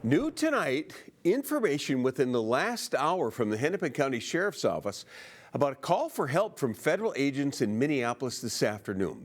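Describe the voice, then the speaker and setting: Lively American male news anchor. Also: mid-range voice. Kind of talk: scripted broadcast news delivery.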